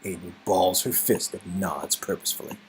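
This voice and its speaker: A man's voice: low-pitched, fairly even intonation, normal speed, rough recording. A man narrating an interactive game story in a dramatic storytelling style, with music behind him. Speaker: narrator and co-performer.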